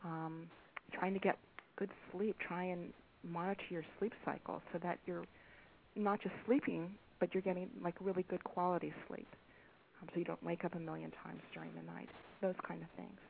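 A soft-spoken woman, an author, being interviewed over the phone, speaking hesitantly with many pauses and ums. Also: on the low side, little pitch movement, creaky. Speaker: author and guest. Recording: tinny phone line with faint hiss.

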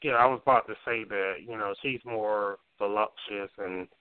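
Relaxed male talk-radio host, dry and tinny. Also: on the low side, moderate pace, clear, resonant tone, no echo. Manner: relaxed, conversational questioning. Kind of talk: casual talk radio interview. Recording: dry, tinny phone-like sound.

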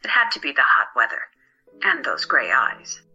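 Dull-sounding, bright-voiced woman narrator over music. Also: very deep voice, huge pitch swings, normal speed, slightly rough, whispered. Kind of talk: scripted story narration.

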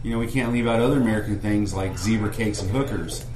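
A man's voice: lowish voice, quick pace, crowd noise. Rambling man in an echoey room. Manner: rambling, restarts sentences, explains at length. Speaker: podcast co-host. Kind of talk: casual chat among fans.

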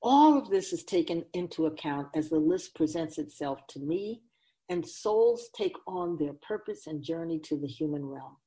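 A woman's voice: low voice, animated, moderate pace, mellow, rough recording. A woman playing a wise, grave otherworldly keeper of souls in a radio drama, speaking in an oracular, instructive and emphatic way. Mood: calm, oracular.

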